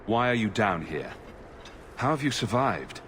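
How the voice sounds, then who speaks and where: An actor playing a resolute, questioning heroic adventurer in a video game. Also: male voice, lowish voice, very animated, a little slow, background noise. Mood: probing.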